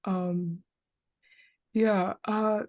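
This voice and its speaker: A woman's voice: medium pitch, flat delivery, very slow, darkish tone. A young woman hosting a podcast interview in a casual, slightly hesitant way, often saying "like". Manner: hesitant, frequent "like". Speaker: podcast host.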